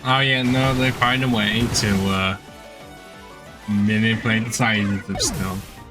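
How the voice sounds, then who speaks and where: A man's voice: fairly low pitch, flat delivery, slow, over music. A man streaming a video game with casual commentary, sounding exasperated, sardonic and nitpicking. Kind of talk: casual game commentary with dialogue read aloud.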